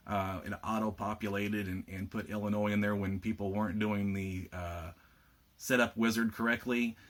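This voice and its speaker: A man with a slightly rough voice, giving a casual video update to lodge officers, plainspoken with frequent fillers. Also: lowish voice, fairly bright tone. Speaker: state lodge administrator giving members an update.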